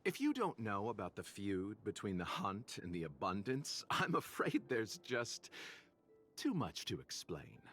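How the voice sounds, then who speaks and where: An actor voicing a composed, mysterious male merchant in a video game, talking smoothly with soft amused laughs. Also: on the low side, wildly swooping pitch, unhurried, heavily acted. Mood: knowing. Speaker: game character. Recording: game audio with faint music behind.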